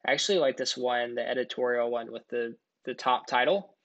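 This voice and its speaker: A man's voice: medium pitch, fairly quick, highs cut off. A man giving a casual, step-by-step screen-recording tutorial voice-over as the presenter of a product walkthrough.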